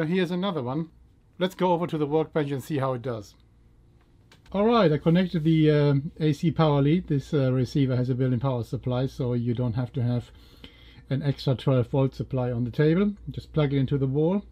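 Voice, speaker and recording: A man in his fifties giving a casual gear demo as a hobbyist host, pausing hesitantly mid-sentence. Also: fairly high pitch. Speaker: radio hobbyist and video host. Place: small indoor room full of radio gear. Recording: dull lo-fi sound with loud radio noise.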